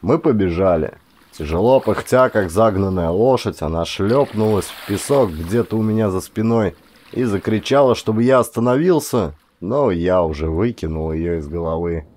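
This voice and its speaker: Low-voiced male audiobook narrator. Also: slight background noise. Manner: measured, expressive reading. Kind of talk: scripted first-person story narration.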